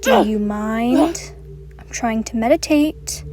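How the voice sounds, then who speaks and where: High, haughty fairy played by a woman. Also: animated, slow, darkish tone. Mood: disdainful. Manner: curt, disdainful. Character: haughty, bossy, cutting young fairy. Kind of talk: scripted roleplay voice acting. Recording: clear music behind the voice.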